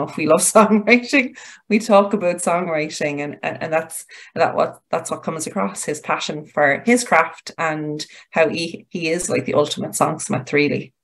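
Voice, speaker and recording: A woman co-hosting a podcast, chatting casually in an interview style. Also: on the low side. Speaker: podcast co-host.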